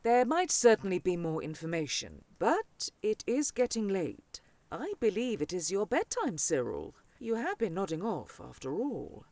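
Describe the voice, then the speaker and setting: A woman's voice: medium pitch, sing-song, a little slow, darkish tone, dry recording. A woman playing a caring, authoritative older scholarly aunt in a fantasy audio roleplay, with solemn, formal delivery. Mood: firm, fond. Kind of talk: audio roleplay drama acting.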